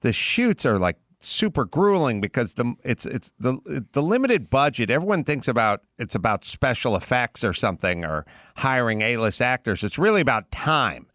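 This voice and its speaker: A middle-aged man hosting a casual podcast interview, asking questions in a relaxed, thoughtful way. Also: medium pitch, normal speed, few pauses, no echo, clean studio sound. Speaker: podcast host.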